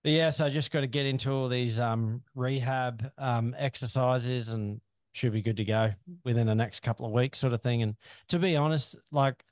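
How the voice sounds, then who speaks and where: A man's voice: medium pitch, steady tone, normal speed, dry recording, studio quality. A man co-hosting a podcast, chatting in a laid-back way with lots of fillers, often ending lines with "eh?". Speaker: podcast co-host. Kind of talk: casual chat.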